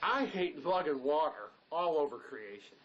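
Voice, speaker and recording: A man's voice: on the high side, expressive, normal speed, highs cut off, natural voice. A man playing a skeptical, sarcastic fugitive in an audio drama, grumbling sardonically at his partner.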